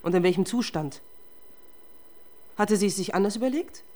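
A woman's voice: medium pitch, normal speed, dark tone, lightly acted. An actress playing a tough, relentless female police detective in a German radio crime drama, confrontational and sardonic. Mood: accusing.

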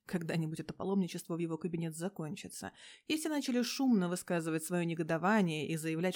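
A woman's voice: mid-range voice, darkish tone. A woman reading scripted fiction expressively, in a sardonic, slangy manner with crude language. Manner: sardonic, crude slangy storytelling with frequent swearing. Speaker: fan fiction narrator.

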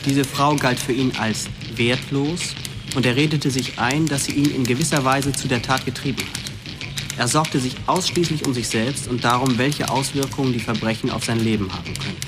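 A man's voice: medium pitch, moderate pace, over music, close to an everyday voice. A man playing a criminal profiler reading a formal, matter-of-fact report in a radio drama. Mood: clinical.

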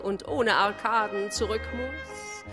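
A woman's voice: mid-range voice, slow pace, close to an everyday voice. An actress playing a melancholy woman who recites a poetic lament dramatically in a radio play. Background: music with violin.

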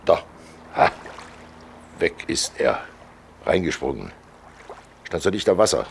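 An actor playing Death as a fat, cynical undertaker with a sardonic, matter-of-fact manner, in a 1940s German radio play. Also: male voice, a bass voice, very animated, slow pace, creaky, a little hiss or hum, exaggerated voice. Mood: dry, indifferent. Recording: old 1940s radio recording.

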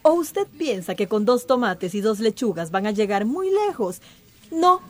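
An actress playing a cool, calculating villain who schemes patiently in a radio drama. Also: female voice, mid-range voice, big pitch swings, normal speed, darkish tone.

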